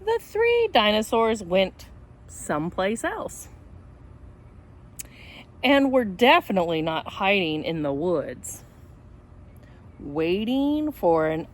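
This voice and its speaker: A woman reading a children's story aloud in a playful, expressive way, with asides addressed to the young listeners. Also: medium pitch, slow, long pauses. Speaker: storybook reader.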